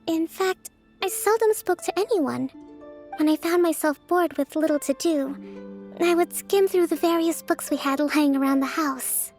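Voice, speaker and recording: A woman voicing a gentle, introverted young priestess and strategist in a video game, soft-spoken, formal and thoughtful. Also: very high-pitched, normal speed, soft background music. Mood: shy, reflective. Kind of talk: video game character voice acting.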